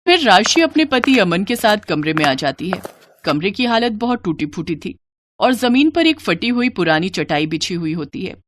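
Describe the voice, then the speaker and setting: A woman storyteller with a medium-pitched voice narrates a moral tale. She reads from a script, telling the story as a narrator. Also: big pitch swings, normal speed, a little hiss or hum.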